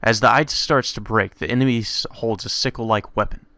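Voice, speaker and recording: A man's voice: on the low side, fairly even intonation, a little fast, sharp tone, dry recording. A man narrating a visual novel aloud in a dramatic read-aloud style, as a let's play host. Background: music.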